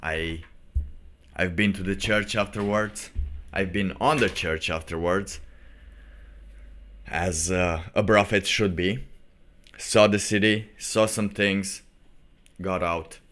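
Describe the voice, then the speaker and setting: A man hosting a livestream in a casual, rambling way, thinking aloud in halting phrases. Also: low voice, steady tone, a bit bright, a little hiss or hum. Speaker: livestream host.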